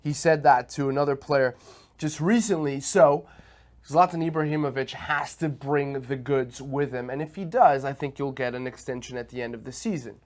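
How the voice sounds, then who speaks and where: A man's voice: medium pitch, normal speed. A man co-hosting a sports talk show, giving analytical, stat-heavy takes in a casual tone.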